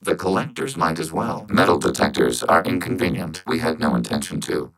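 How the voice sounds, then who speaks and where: A low male robot voice from a video game. It speaks for a machine collective with measured, formal precision. The delivery is calm, logical and emotionless, with a synthetic, processed edge. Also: full character voice, rough recording. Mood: flat, analytical. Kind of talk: scripted video game character acting.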